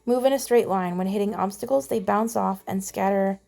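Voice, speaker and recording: A woman's voice: medium pitch, brisk, gravelly, mellow. A woman giving casual game commentary, chatty and playful, reading on-screen text aloud. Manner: chatty, mild playful exclamations. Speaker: gamer voicing a playthrough.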